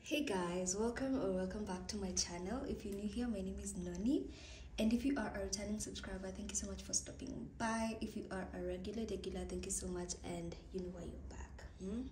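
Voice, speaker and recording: Kenyan girl in her 20s vlogging in her kitchen, raspy morning voice, kinda rambly and half whispery, echoey room. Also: mid-range voice, fairly quick. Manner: relaxed, rambling, often drops to a soft near-whisper. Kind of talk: casual vlog chat. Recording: echoey room with faint background noise.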